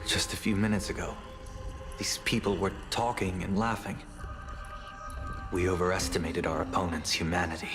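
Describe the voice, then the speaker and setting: An actor playing a calm, analytical strategist with a reserved delivery in an anime game. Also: male voice, low voice, moderate pace, long pauses, close to an everyday voice. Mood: sober. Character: calm, sober male strategist companion. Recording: loud music behind.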